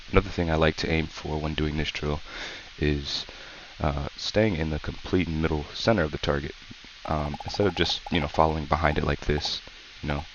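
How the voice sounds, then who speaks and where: A man hosting a gaming channel, sick with a sore throat and talking calmly and softly as he coaches through a tutorial. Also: a bass voice. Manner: calm, slow, soft-spoken coaching. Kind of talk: casual tutorial commentary. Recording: dull lo-fi mic with faint hiss.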